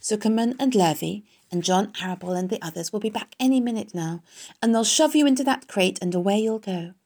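A woman acts out a dying female spider in a storybook, composed and gently scolding. Her voice has a creaky edge and stays matter-of-fact. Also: medium pitch, animated, brisk, voice pushed up. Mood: gently firm.

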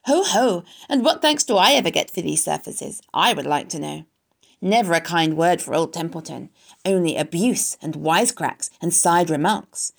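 A woman puts on a grumbling old male rat from a storybook, with swooping, sarcastic intonation and a creaky edge. He is mocking and full of theatrical self-pity. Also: fairly low pitch, expressive, normal speed.

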